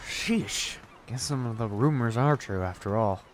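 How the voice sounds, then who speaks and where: A man's voice: mid-range voice, a little slow, a little hiss or hum, natural voice. A man voicing a cocky, sly young male thief who talks in a brash, swearing way.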